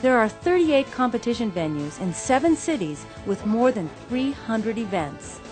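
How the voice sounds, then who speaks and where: Brief: female news reporter, animated pitch, clear measured voice-over, music underneath. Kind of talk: scripted news narration.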